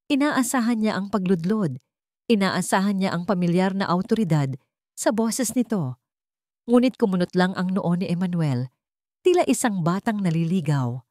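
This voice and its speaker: Female story narrator, Filipino accent, lower register, dry close sound, dramatic scripted delivery. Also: darkish tone, dry recording.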